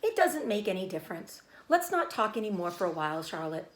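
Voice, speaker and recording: A woman's voice: medium pitch, sing-song, a little fast, reverberant, natural voice. A woman reading a children's storybook, voicing an innocent, anxious young male pig who talks in an earnest, childlike way. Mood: sleepy, content. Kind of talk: children's storybook read-aloud.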